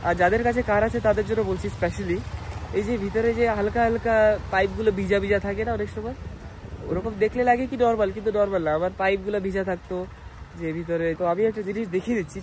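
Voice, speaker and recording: High-pitched young man vlogging, noisy background. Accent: Indian Bengali. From India. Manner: explanatory, mixes in English words. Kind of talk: casual chatty vlog to viewers. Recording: noisy outdoor vlog with traffic hum.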